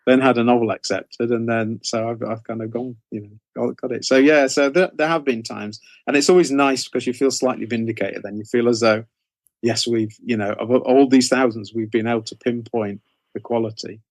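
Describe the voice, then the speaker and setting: A man's voice: medium pitch, fairly even intonation, brisk, dry recording. Man chatting in a dry, close recording. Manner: hesitant, self-correcting, with repeated phrases. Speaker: interview guest, author and anthology editor. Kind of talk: casual conversational interview answers.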